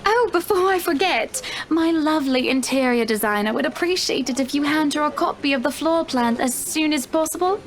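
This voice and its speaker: A woman's voice: high-pitched, lively intonation, fairly quick, hardly any pauses, slight background noise. A woman playing a wealthy, pushy female house buyer in a visual novel, effusive and condescendingly polite. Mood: gushing, imperious. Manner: effusive, condescending, addresses people with endearments.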